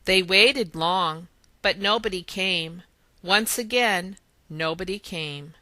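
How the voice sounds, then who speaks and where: A woman reading a children's story aloud in expressive narration. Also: lowish voice, takes it slowly, no echo. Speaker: storybook reader.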